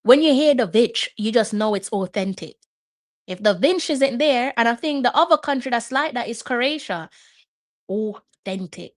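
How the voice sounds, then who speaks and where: Woman, live watch-along host, chatty, thinks out loud, fairly high voice, dry sound. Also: expressive, normal speed, no room sound. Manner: chatty, muses aloud while reading up on players.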